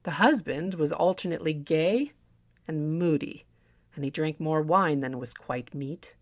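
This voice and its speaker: A woman narrating a story as an audiobook reader, in a theatrical, deliberate and expressive manner. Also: deep voice. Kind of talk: dramatic scripted story narration.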